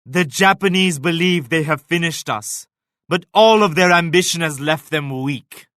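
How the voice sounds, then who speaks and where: An actor playing a devout, proud Indian emperor in a strategy game, speaking in a formal, measured, pious declamatory manner. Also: male voice, a little high, fairly bright tone, dry recording, clean studio sound. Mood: resolute. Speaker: video game leader character. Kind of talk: scripted strategy game voice lines.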